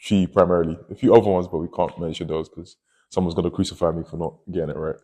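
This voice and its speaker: A man's voice: sits low, fairly even intonation, fast talker, dark tone. A man speaking in a casual group discussion, with frequent ums and uhs. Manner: hesitant with many ums and uhs. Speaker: panel guest.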